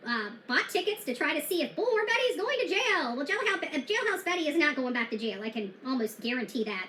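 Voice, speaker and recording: A woman's voice: high voice, pushed and bright, roomy sound, a little hiss or hum, low-quality recording. A woman who covers true crime, talking casually to her viewers, defiant and blunt, with many fillers and restarts.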